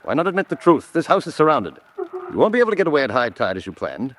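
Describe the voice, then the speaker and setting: An actor playing a determined man exposing spies in a vintage radio drama, speaking in a measured, firm way. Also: on the high side, big pitch swings, a little hiss or hum. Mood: firm, accusing.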